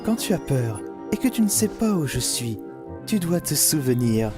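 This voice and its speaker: A man voicing a gentle, loving demon owl father in a theatrical cartoon fan dub, with music behind him. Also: fairly high pitch, very animated, moderate pace. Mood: tender, soothing. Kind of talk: animated series fan dub.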